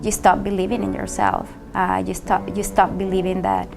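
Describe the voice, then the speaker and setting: Gravelly-voiced Venezuelan woman, measured. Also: mid-range voice, steady tone. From Caracas, Venezuela. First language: Spanish. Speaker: ballet mistress and company manager. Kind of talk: personal testimony interview. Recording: music under the voice.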